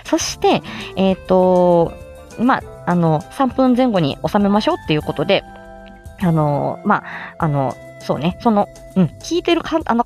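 A woman hosts an informal tutorial, talking off the cuff in a polite, encouraging way. Her mid-pitched voice moves around with plenty of animation as she explains each step. Soft music sits behind her. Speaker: host of a how-to audio show for amateur voice actors. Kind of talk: casual explanatory talk, unscripted.

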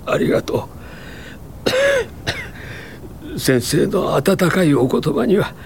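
An actor playing a sickly, earnest tuberculosis patient in a radio drama, plain-spoken, halting and short of breath. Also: male voice, a little high, lively intonation, gravelly, a little hiss or hum, heavily acted. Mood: grateful, weak. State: ill, coughing, breathless.

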